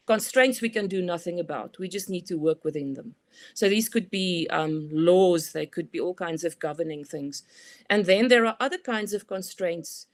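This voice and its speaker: A middle-aged woman with a South African accent, a guest expert explaining her ideas in a thoughtful, conversational way with many "you know" fillers. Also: mid-range voice. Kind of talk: conversational interview.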